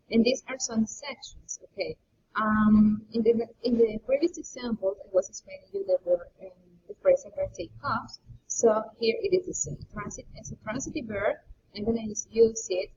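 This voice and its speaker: Distant, muffled high-voiced woman teaching grammar. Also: dark tone. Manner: explanatory, repeating key points. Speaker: English teacher. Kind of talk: grammar lesson. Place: indoor room, screencast with webcam. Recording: muffled, distant, noisy webcam recording.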